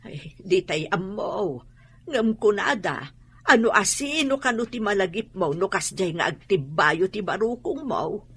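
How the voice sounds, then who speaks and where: A woman's voice: medium pitch, animated, unhurried, husky. A woman with an Ilocano accent playing a worried, motherly older woman sheltering from war in a radio drama. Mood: gently probing. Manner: fretting, exclamatory.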